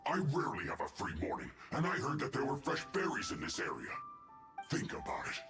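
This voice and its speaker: A man's voice: mid-range voice, wildly swooping pitch, fairly quick, a bit bright. An actor playing a defensive, grumbling male agent in a video game, speaking over game music. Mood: sulky. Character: sulky male agent caught picking berries. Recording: roomy echo.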